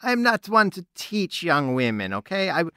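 A man voicing a suave gentleman who puts on foreign airs, teasing and condescending. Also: sits high, sing-song, normal speed, dry recording, clean studio sound, pitched above the performer's own voice, heavily acted. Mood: smooth flirtatious. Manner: smooth, teasing, condescending seducer. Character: suave Dutch gentleman with a fake French charm.

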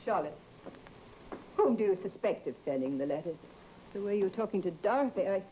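A woman's voice: medium pitch, animated, fairly quick, lightly acted. Swooping, fussing mother acted by a woman. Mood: concerned. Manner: theatrical emphasis, addresses others as dear. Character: concerned, gossipy mother of a married daughter, fussing affectionately. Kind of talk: old-time radio horror drama acting. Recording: dull old radio recording with hiss.

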